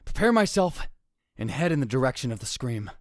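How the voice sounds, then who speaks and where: A man's voice: mid-range voice, sing-song, brisk, dry recording, clean studio sound, natural voice. A man voicing a young male student shaken by a murder, narrating a visual novel in a tense first-person inner monologue that often drops to a whisper. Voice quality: husky. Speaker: narrator and lead voice in a fan dub.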